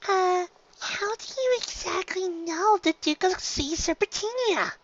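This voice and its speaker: A woman voicing a young pony filly, an innocently blunt little sister who asks puzzled questions in a squeaky voice. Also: expressive, a little slow, dry recording. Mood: curious. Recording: slightly dull, lo-fi recording.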